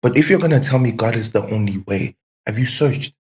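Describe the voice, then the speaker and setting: A man's voice: mid-range voice, a little fast. A man speaking as a guest in a casual, reflective conversation, questioning emphatically and repeating phrases to drive a point home. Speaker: podcast guest.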